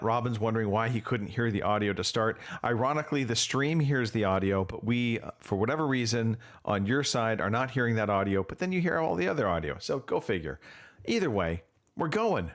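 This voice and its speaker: A man's voice: medium pitch, a bit bright. Podcast host guy, upbeat and casual, voice bouncing up and down a lot. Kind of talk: casual chat.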